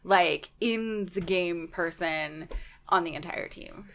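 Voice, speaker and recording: A woman with a Canadian accent, co-hosting a casual podcast chat, chatty and quick to laugh. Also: fairly low pitch. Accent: Canadian English. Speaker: podcast co-host.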